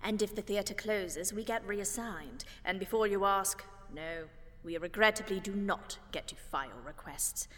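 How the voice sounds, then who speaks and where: A woman's voice: medium pitch, normal speed, a bit bright, natural voice. A woman playing a genteel, theatrical grand lady ghost in an audio drama, prim and melodramatic, with long reverb. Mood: dignified, wistful. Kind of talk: acted audio drama dialogue.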